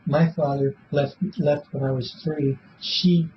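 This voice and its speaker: A man in his seventies answering questions in an interview, speaking casually and haltingly, repeating words and trailing off. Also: fairly high pitch, level tone. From born in Belfast, Northern Ireland; raised in Illinois from age seven. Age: 70s. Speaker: interviewee in a police polygraph interview. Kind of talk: casual, rambling spoken answers. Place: small police interview room. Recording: muffled, noisy interrogation room recording.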